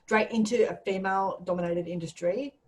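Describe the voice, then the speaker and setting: Woman, mid-pitched voice, casual conversation, blunt, straight-talking, joking. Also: fairly quick. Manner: blunt, direct, jokey, sweary.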